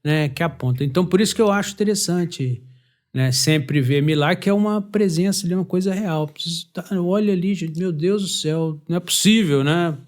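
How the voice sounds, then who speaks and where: A man with a Brazilian Portuguese accent hosting a podcast, chatty and animated, laughing easily. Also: sits high, a little fast. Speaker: podcast host. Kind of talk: casual chat.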